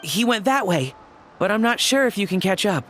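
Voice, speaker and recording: A man's voice: sits high, sing-song, moderate pace, a bit bright, a little hiss or hum. A man playing a meek, apologetic young hired underling in a video game, hesitant and pleading. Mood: nervous, apologetic.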